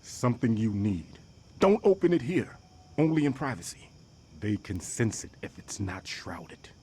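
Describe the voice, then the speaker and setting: A man voicing a hunted informant who speaks in hushed, hurried tones. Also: medium pitch, very animated, a little hiss or hum. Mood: urgent. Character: hunted man handing over a secret, urgent.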